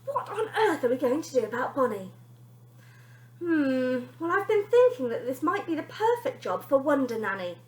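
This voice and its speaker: A woman's voice: sits high, sing-song, moderate pace. A woman voicing a polite, put-upon mother in a children's story. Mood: hushed. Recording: echoey room.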